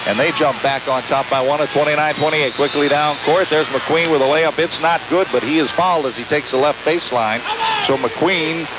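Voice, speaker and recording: A man's voice: a little high, normal speed. A man calling live basketball play-by-play on the radio in clipped, descriptive phrases. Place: courtside in a large sports dome. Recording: hissy, noisy amateur tape of radio broadcast.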